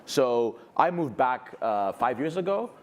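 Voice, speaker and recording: Guy on a panel talking investing, measured, lots of ums and restarts, normal pitch. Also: very animated. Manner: measured, with frequent fillers and restarts. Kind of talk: panel talk.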